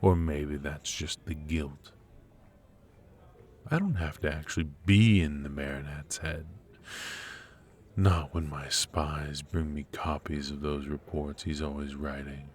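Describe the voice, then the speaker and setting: A menacing dark warlord, played by a man, speaks in a profoundly deep voice. He drags out his words very slowly, silky and taunting, as a theatrical villain in an intimate ASMR fantasy roleplay. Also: steady tone. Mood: sly, teasing. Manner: theatrical, taunting, drawn-out delivery with sighs and soft chuckles.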